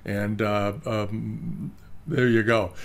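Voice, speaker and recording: A man's voice: on the low side, flat delivery, very slow, gravelly. An older man giving rambling, casual commentary on auction results, full of fillers and restarts, recorded at his desk on a webcam. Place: indoor room, screen-share with webcam inset.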